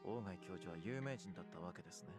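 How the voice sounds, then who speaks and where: A man playing a calm, stiff patient in a visual novel who questions like a detective. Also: low-pitched, rapid-fire. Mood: coolly pressing. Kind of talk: Japanese visual novel acting. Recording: guitar music behind the voice.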